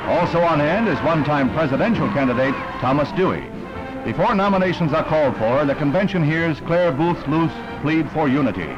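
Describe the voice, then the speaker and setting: A man with an American accent narrates like a 1960s newsreel announcer, in a slightly high voice. The old film soundtrack is muffled and band-limited. Music plays clearly behind him. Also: animated, a little fast.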